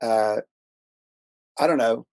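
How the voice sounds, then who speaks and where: An American man chats casually as an interview guest, with an enthusiastic and self-deprecating manner. His mid-pitched voice is recorded very dry, and his phrasing is loose and colloquial. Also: takes it slowly, creaky, a little mellow, dry recording. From United States. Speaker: producer and engineer, interview guest. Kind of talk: casual chat.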